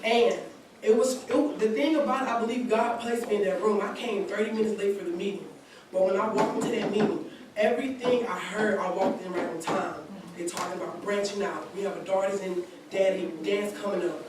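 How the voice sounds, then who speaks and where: A young woman in her twenties gives an earnest testimony, her long sentences full of restarts. She sounds far from the microphone, and her voice rings through a very echoey church hall with clear background noise behind her. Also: medium pitch, fairly quick. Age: 20s. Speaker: college student speaking at a community seminar. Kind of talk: personal testimony at a gathering.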